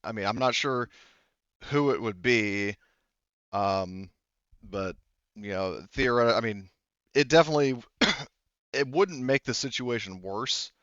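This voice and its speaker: A man's voice: mid-range voice, slow, pauses often, fairly bright tone, dry recording, lo-fi sound. A man acting as game master of a tabletop roleplaying game, improvising and relaying characters' words in a rambling way.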